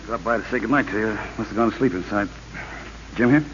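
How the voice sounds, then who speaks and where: A man's voice: fairly low pitch, fast, fairly bright tone, close to an everyday voice. An actor playing a tough, cocky gambler with slangy tough-guy talk in an old radio drama, on a muffled recording with hiss. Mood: breezy. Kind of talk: 1930s-40s radio drama acting.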